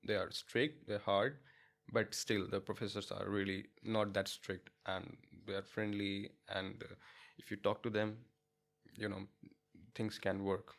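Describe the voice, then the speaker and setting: Low-voiced Indian man, hesitant. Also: flat delivery, unhurried, vocal fry. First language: not English. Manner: hesitant, many fillers and restarts, laughs easily. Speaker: guest, international student. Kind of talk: casual interview chat.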